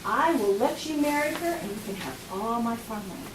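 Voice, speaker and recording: A woman voicing a desperate, weeping old male farmer in a told folk tale, wailing high and breaking into sobs. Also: lively intonation, normal speed, natural voice. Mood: pleading. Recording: echoey room, far from mic, noisy.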